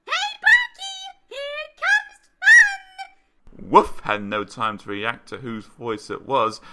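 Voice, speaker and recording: A man's voice: medium pitch, very noisy. A man reading scripted narration as the storyteller of a parody preschool children's tale.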